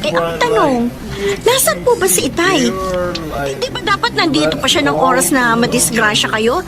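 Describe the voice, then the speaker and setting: An actress playing an emotional, reproachful grown daughter in a Tagalog radio drama. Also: female voice, sits high, expressive, normal speed. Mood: worried, indignant. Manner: emotional, confronting, pleading. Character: anguished, reproachful grown daughter, a mother herself. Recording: loud music under the voice.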